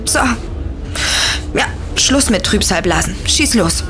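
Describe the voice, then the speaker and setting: Lively, sarcastic teenage girl. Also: mid-range voice, takes it slowly, music in the background. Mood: teasing, encouraging. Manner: cheeky, casual slangy talk. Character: wry, sarcastic teenage girl, teasing her best friend. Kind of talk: German audio drama acting, conversational.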